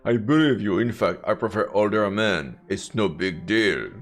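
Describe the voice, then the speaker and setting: A man's voice: fairly low pitch, animated, normal speed. A man with a French accent reading game text aloud and adding casual, playful, theatrical commentary as a live streamer. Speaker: live streamer playing a visual novel. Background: faint game music.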